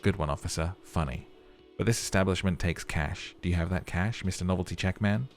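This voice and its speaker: A man's voice: low voice, brisk, faint music behind. A man voicing a male hostel manager who is curt, sarcastic and easily irritated. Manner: curt and mocking.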